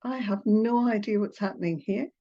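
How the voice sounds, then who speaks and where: An older woman from Scotland with a Scottish accent softened by Australian tones, a therapist presenting a webinar with hesitant, apologetic talk full of fillers. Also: mid-range voice, normal speed. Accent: Scottish, partly Australian. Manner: hesitant, apologetic, with filler sounds and little laughs. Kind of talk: online presentation. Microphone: video call. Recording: muffled video call audio.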